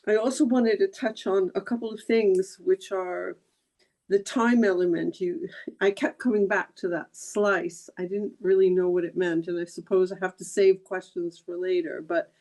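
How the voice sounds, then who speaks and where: A woman from Argentina on a video call, speaking earnestly and haltingly with many pauses and fillers. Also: on the low side, steady tone, creaky, darkish tone. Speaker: audience member on a video call. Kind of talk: casual chat.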